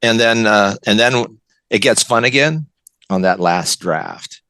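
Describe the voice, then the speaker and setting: A man's voice: fairly low pitch, measured pace, sharp tone, dry recording. An older American man, a writer from the West Coast, giving enthusiastic, reflective answers as an interview guest. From West Coast of the United States. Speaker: guest, novelist and college teacher.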